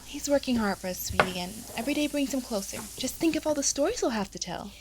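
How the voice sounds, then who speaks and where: Woman playing a quick-talking gentle mom, noisy recording. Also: sits high, animated. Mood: reassuring. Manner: affectionate, reassuring. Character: gentle, encouraging mother of young twin girls. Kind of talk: children's audio drama acting.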